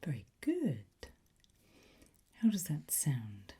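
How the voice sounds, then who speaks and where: A woman speaks in a soft, dark, very breathy whisper, at an extremely slow pace. She plays a patient ASMR teacher, gently encouraging the listener. The delivery is unhurried and close to unvoiced throughout. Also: sits low, huge pitch swings. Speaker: ASMR host playing a language teacher.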